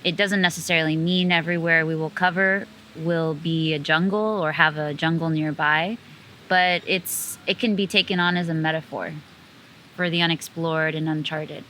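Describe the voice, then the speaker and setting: A woman's voice: low-pitched, fairly even intonation, moderate pace, pushed and bright, slight background noise. A young woman from the United States, a magazine co-founder, talking earnestly and reflectively in an interview, with frequent fillers.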